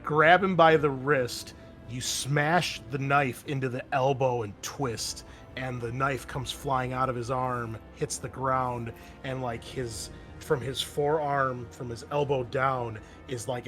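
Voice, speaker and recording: Guy game mastering a tabletop rpg, mid pitch, sweary and thinking out loud, music loud behind him. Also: fairly even intonation, a little slow. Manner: casual, profane, thinking aloud. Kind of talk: running a tabletop roleplaying game, improvised narration and rulings.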